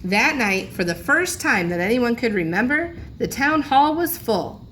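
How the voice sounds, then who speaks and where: A woman's voice: on the high side, very animated, unhurried, a bit bright, slight background noise. A woman reading a children's storybook aloud like a teacher, expressively, lingering on key phrases for emphasis.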